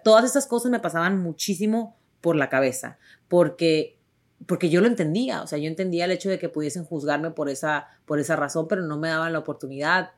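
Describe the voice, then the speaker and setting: A young woman from Tijuana with a northern Mexican accent, telling her story to viewers in a chatty, emphatic way full of fillers. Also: on the low side. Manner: chatty, warm and emphatic, many fillers and restarts. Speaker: actress.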